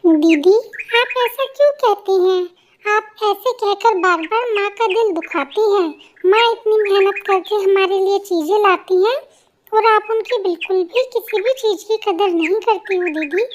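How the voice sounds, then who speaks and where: A woman voicing an eager, dutiful young bird sister in an excitable, earnest way for a cartoon story. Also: very high-pitched, a little slow, a little hiss or hum. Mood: reproachful.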